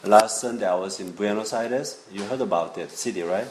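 An older man preaching informally in halting, English, often checking in with his listeners. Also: fairly low pitch. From South Korea. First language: Korean. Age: 50s or older. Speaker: visiting preacher and seminary teacher. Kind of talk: informal sermon to young people. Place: indoor church room. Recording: echoey room with faint noise.

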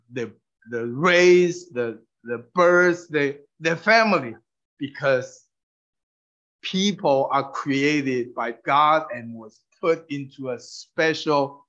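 A man whose first language is Mandarin gives a halting testimony sermon, pausing for an interpreter and using many fillers and restarts. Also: mid-range voice, a bit bright. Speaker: preacher sharing testimony. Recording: dull muffled recording.

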